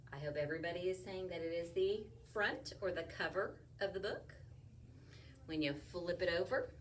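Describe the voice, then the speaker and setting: A woman reading a picture book aloud to children in an animated way, asking them questions and sometimes dropping to a whisper. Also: medium pitch, far from the mic, a little hiss or hum. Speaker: storytime reader, teacher.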